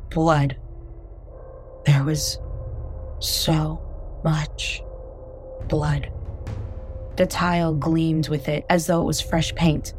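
Low-voiced woman narrating horror. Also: level tone, extremely slow, long pauses, faint music behind. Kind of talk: first-person horror narration.

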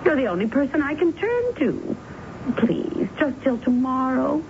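An actress playing a flirtatious, vain married sister in a radio drama, coy, theatrical and wheedling. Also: female voice, sits high, sing-song, measured pace, heavily acted. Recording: dull, slightly noisy old radio broadcast.

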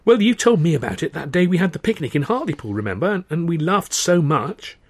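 Guy doing a friendly naive young english comic in an audiobook, higher voice, animated. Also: fairly quick. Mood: hesitant, dawning guilt. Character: genial, guileless young male music-hall comedian friend.

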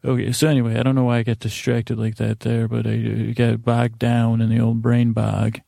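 A man hosting a bedtime podcast in a meandering, halting monologue, often stammering and interrupting himself in a self-deprecating way. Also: mid-range voice, completely flat delivery, creaky, mellow, dry recording, studio quality. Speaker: podcast host.

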